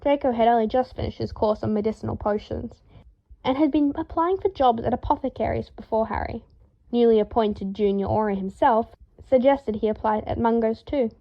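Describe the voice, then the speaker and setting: A woman reading a story aloud in a lively, expressive way, acting out the dialogue. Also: a little high, a little fast, creaky, muffled recording. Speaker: fan fiction reader.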